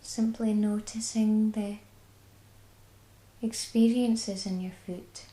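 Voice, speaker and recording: A woman with a Scottish accent guiding a body scan meditation, speaking slowly and soothingly. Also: medium pitch, fairly even intonation, reverberant, poor audio. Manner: slow, soft and soothing. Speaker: mindfulness guide.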